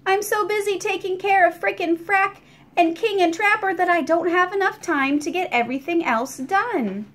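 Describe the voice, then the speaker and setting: A woman's voice: extremely high pitch, normal speed, fairly bright tone, voice pushed up. A woman voicing a weary, kindly older landlady who groans and complains of being worn out. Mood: rueful. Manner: groaning, exasperated.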